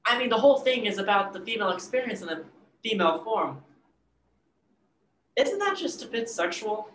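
A woman's voice: medium pitch, expressive, moderate pace, fairly bright tone, natural voice. A young adult playing an awkward, smitten young man, stammering and hesitant, in a play reading. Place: indoor room, video call. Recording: roomy video call, background noise.

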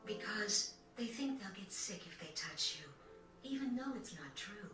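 A woman's voice: medium pitch, expressive, unhurried, music in the background, close to an everyday voice. A woman playing a caring mother in a video game, speaking in a tender and reassuring manner. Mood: concerned, reassuring. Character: caring, soothing mother of a sick girl. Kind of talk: English video game cutscene acting. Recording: distant, echoey TV game capture.

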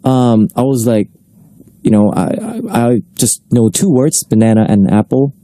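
A man's voice: lowish voice, normal speed, warm tone. A young man raised in Australia, hosting a casual radio chat show in a studio, talking loosely with lots of fillers and echoing his co-host's words. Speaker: radio host.

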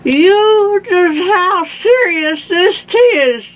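A woman's voice: extremely high pitch, very animated, drawn-out words, a bit bright, pitched above the performer's own voice, heavily acted, poor audio. A woman voicing a distraught, indignant old grandpa in a storybook, melodramatic and wailing. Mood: tearful, indignant.

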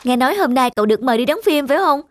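Woman voicing a modest gentle young actress. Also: high-pitched, expressive, racing. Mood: curious. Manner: gentle, hesitant. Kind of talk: animated series dubbing. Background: background music.

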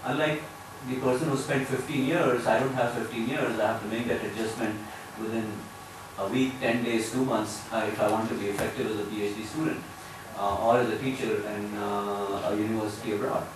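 A man in his thirties with an Indian accent, giving measured, hesitant remarks as a panelist at a conference table. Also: lowish voice, fairly even intonation. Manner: measured, hesitant with many fillers. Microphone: table mic, far camera. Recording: echoey hall, distant mic, noise.

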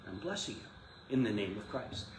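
A man's voice: lowish voice, sing-song, normal speed. A middle-aged man, a priest giving an informal class, conversational and emphatic, with rhetorical questions and asides. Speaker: Orthodox priest teaching a class. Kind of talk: informal live lecture to a class. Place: small indoor classroom. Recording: echoey room, some noise, mic at a distance.

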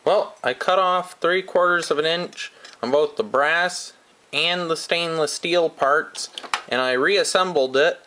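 A man giving a hands-on how-to lesson at a workshop bench, explaining each step slowly and methodically as he works. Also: fairly high pitch, sharp tone. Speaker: DIY welding instructor.

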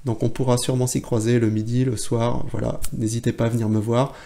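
Mellow-voiced man chatting to camera. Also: medium pitch, level tone, brisk, vocal fry. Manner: chatty, with many fillers and restarts. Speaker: photography blogger and trainer. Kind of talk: casual video blog to camera.

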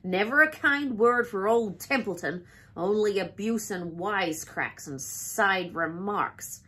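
A husky, put-upon male rat from a children's storybook, acted out by a woman. He grumbles lazily and unhurriedly, with sarcastic mockery in his delivery. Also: mid-range voice, slow, bright, forceful tone, exaggerated voice. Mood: self-pitying sarcasm.

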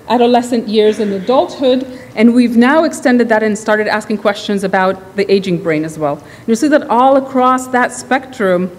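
A woman's voice: a little high, a little mellow. A middle-aged woman with an Israeli accent, a neuroscience professor giving a conversational academic lecture at a podium mic. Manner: quick, conversational, with restarts. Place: large hall with reverb. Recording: reverberant hall, faint background noise.

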